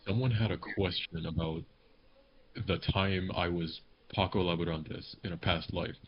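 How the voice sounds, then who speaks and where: A man's voice: low voice, steady tone, normal speed. A man chatting casually on a group podcast in a joking, rambling way. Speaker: podcast panelist, amateur voice actor. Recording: dull lo-fi mic with background noise.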